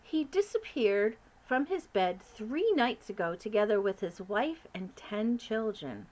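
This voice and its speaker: Woman reading a blunt sultan, plain and unhurried. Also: high voice, lively intonation, rough recording. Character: matter-of-fact male sultan.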